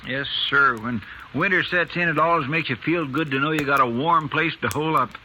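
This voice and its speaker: A homespun country deputy with a folksy drawl and a very bright, sharp voice. A faint hiss lies behind the old radio recording. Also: male voice, on the high side, a little fast, low-quality recording. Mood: homey. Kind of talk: 1950s radio drama acting.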